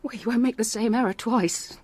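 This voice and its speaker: High-voiced, distraught gentlewoman. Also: brisk, breathy edge, no room sound. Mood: despairing. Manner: melodramatic, fearful. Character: distraught, fatalistic married gentlewoman in fear for her life. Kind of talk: radio drama acting.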